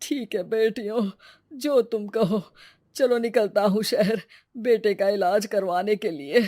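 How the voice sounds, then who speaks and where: An elderly, helpless village father as a performer acts him in a cartoon folk story. He speaks slowly and plaintively in homely words, with a dry, close sound. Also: mid-range voice, dark tone, no echo, close to an everyday voice. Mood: relieved. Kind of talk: cartoon moral-story acting.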